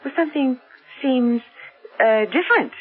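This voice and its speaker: An actress playing a genteel, observant older mother with a husky voice in a 1970s radio drama. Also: high voice, normal speed, faint music behind. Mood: pleasantly puzzled. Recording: tinny, band-limited vintage radio recording.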